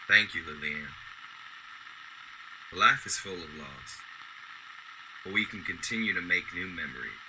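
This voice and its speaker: A man playing a kindly, melancholy old widowed war veteran in a radio drama, speaking in a measured, wistful way. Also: sits low, animated, background noise. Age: older.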